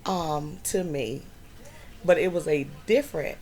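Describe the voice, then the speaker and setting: A middle-aged woman vlogging from home, telling a personal story slowly with many fillers. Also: low voice, a little mellow. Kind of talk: personal health story vlog.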